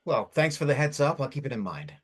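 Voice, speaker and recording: A man's voice: mid-range voice, very animated, quick pace, slightly rough. A man playing a bitter, despairing man in his twenties in a drama reading, curt and defensive. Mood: bitter, sarcastic. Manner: curt, guarded, irritated.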